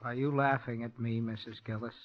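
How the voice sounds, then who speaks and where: An actor playing a meek young handyman who speaks softly, slowly and fussily, in 1940s radio acting on a lo-fi old broadcast. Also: mid-range voice, flat delivery, lightly acted. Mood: quietly odd. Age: young adult. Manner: soft, slow, fussy. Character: meek, odd young male handyman, quietly unsettling.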